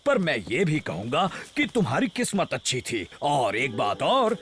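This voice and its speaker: A man's voice: on the high side, wildly swooping pitch, normal speed, fairly bright tone. A man voicing a fussy, protective, fatherly bear who scolds expressively in a Hindi cartoon dub. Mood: grudging, fond. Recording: music behind.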